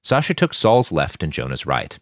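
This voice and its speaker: A man's voice: extremely low pitch, huge pitch swings, moderate pace, dry recording, clean studio sound. A man reading scripted fiction as an audiobook narrator.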